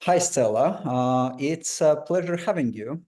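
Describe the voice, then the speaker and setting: Mellow-voiced male webinar host. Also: fairly high pitch, lively intonation, unhurried. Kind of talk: webinar interview.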